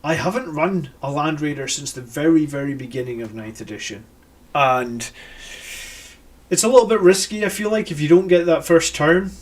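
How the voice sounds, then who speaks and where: A man's voice: fairly high pitch, lively intonation, normal speed. A Scottish man hosting a live stream, thinking aloud about army lists with many fillers and self-corrections. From Scotland. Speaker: host reviewing army lists. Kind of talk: live-stream casual commentary.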